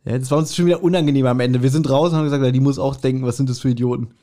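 A man's voice: mid-range voice, steady tone, racing, warm tone. A man chatting casually as a podcast host, telling rambling stories with mock grievance.

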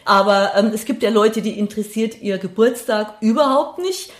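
A woman's voice: mid-range voice, moderate pace. Woman, middle-aged, reflective interview guest, hesitant, conversational, echoey room. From Passau, Bavaria, Germany. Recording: roomy, echoey sound.

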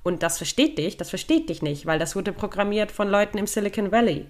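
A woman co-hosting a podcast, explaining things in a relaxed chat and laughing at her own jokes. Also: mid-range voice, fairly quick. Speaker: podcast co-host. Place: living in London.

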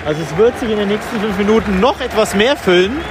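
High-voiced man reporting live from a stadium. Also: lively intonation, fast talker. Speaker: podcast host reporting live from a football match. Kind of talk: live on-site football commentary, casual. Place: outdoors in the stands of a large football stadium. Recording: outdoor stadium with crowd sound. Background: stadium crowd noise and music.

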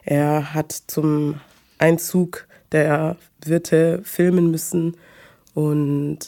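A woman, talking hesitantly about her personal life with many pauses and fillers. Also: low voice, flat delivery, dark tone. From Munich, Germany. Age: 20s. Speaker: guest. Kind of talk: personal conversation.

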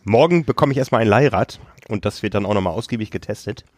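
A man's voice: on the low side, quick pace. A chatty man co-hosts a podcast from a hotel room, spinning loose stories and laughing along. He has a slight cough and sounds a bit under the weather. The recording is dry and close, with no room echo. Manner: chatty storyteller, laughs often. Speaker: podcast co-host. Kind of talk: casual chat. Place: hotel on a tropical island. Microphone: remote call.

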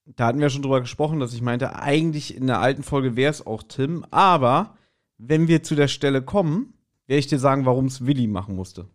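A man's voice: medium pitch, brisk. Male podcast co-host. Casual, conversational recap and review, mid-range voice, amused asides. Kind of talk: casual chat recapping and reviewing an audio drama.

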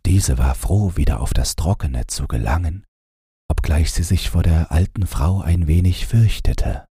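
A man narrating a bedtime fairy tale as an audiobook reader, calm and unhurried, with a low, husky voice. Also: no room sound, clean studio sound. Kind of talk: scripted fairy-tale narration for falling asleep.

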